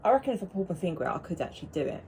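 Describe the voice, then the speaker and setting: Knitting vlogger, woman, lower voice, chatty, restarts her sentences, quiet room hum. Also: sing-song, very fast, vocal fry. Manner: chatty and rambling, with frequent restarts. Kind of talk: casual chat to camera.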